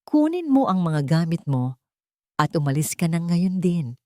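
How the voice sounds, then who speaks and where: A woman voicing a cold, weary, wealthy man, flat and commanding, in an audio drama. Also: fairly low pitch, unhurried, no echo, clean studio sound. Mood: cold, final. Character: cold, weary, wealthy widowed father and master.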